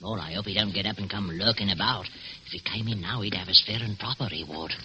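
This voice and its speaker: An actor playing a burglar's nervous working-class sidekick, chatty and slangy, in a radio drama. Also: male voice, fairly low pitch, fast, lightly acted. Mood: uneasy, chatty. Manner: colloquial, chatty, fretful. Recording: dull, hissy old radio recording.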